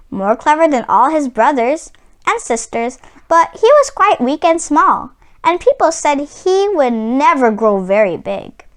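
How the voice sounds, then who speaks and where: A woman reading a children's story aloud as a storyteller, with an expressive, deliberate storybook delivery. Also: high-pitched.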